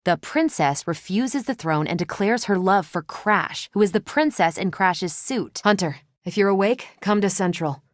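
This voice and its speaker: An actress playing a confident, wry superhero and ex-military pilot in a video game, speaking with dry, casual self-assurance. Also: female voice, medium pitch, expressive, moderate pace, few pauses, no echo, lightly acted. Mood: candid, steady. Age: adult. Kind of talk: video game voice acting, conversational dialogue.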